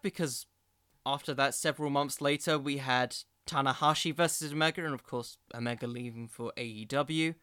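A man with a bright, forceful voice, pitched a little high, hosts a casual podcast. His sound is crisp and dry, and he rambles as he thinks aloud, often poking fun at himself. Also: dry recording. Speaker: podcast host. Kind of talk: casual wrestling commentary.

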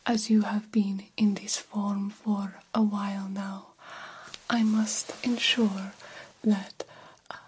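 A woman's voice: mid-range voice, darkish tone, slight background noise, lightly acted, poor audio. A woman voicing an attentive, courtly vampire doctor in a whispered ASMR roleplay, soothing and formal in her phrasing. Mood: attentive, reassuring. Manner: slow, soothing, formal old-fashioned phrasing, reassuring.

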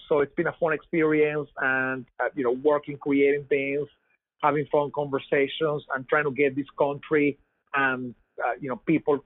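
A man's voice: medium pitch, no echo. A Venezuelan man in his forties with a Venezuelan Spanish accent, a guest chatting casually in an interview, often repeating words and filling with "you know". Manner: repeats words, many "you know" fillers, laughs easily. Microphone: over a web call. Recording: dry, phone-like web call.

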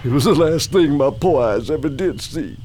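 An actor playing a haunted old former servant with a Southern American accent, telling a horror tale gravely in folksy speech for a radio drama. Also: male voice, a little high, expressive, moderate pace, natural voice. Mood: horrified, intense. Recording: music loud under the voice.

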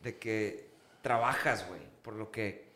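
A man's voice: lowish voice, slow pace, sharp tone. A man hosting a casual podcast, effusive and encouraging, speaking in loose slang. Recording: echoey room sound.

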